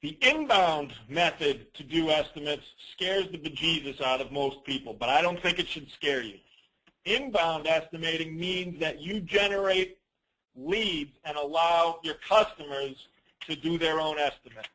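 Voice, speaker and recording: A man presenting a business training call as an informal, rambling software demo, with teasing, self-deprecating asides. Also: on the high side, moderate pace, poor audio.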